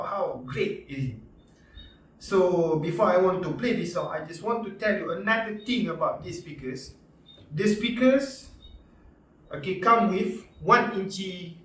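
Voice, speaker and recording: A man with a Malaysian accent giving a casual, unscripted product review in an informal, halting and repetitive way with slangy interjections. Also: high-pitched, a bit bright. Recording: echoey room, distant mic, background music.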